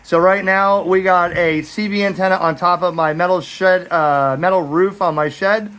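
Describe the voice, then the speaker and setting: A man's voice: sits high, steady tone, moderate pace, a bit bright. An American man chatting plainly and informally outdoors, switching between casual talk and amateur radio calls. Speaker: radio hobbyist making videos. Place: backyard by a metal shed, outdoors.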